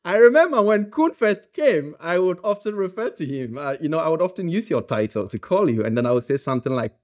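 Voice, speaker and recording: A man with a Ghanaian English accent, preaching a sermon in a relaxed, joking manner with frequent fillers. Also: a little high, fairly quick. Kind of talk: conversational sermon.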